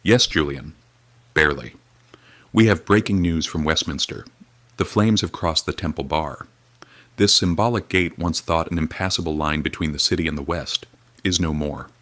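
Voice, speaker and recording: An actor playing a weary, grim radio reporter, speaking in a solemn, measured, grave way. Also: male voice, a bass voice, fairly bright tone, no room sound. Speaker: field reporter. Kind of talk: dramatic period radio reporting.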